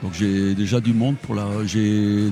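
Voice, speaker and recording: A man's voice: on the low side, steady tone, a little slow, music in the background. A man from Portugal, a motorcycle tour guide, chatting as a guest in a casual radio interview with many restarts.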